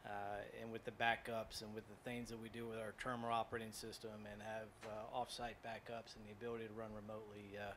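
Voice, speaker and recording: A man's voice: lowish voice, completely flat delivery, quick pace, hardly any pauses, fairly bright tone, slight background noise. A man who runs port operations, speaking on a panel in a practical, matter-of-fact way. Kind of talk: panel discussion.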